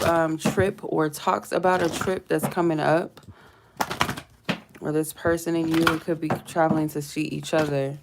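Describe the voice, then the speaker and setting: Low, gravelly-voiced woman reading tarot. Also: noisy background. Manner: rambling, filler-heavy, murmurs quiet prayers between readings. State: stuffy from a cold. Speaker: tarot reader hosting a live stream. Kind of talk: casual live tarot reading.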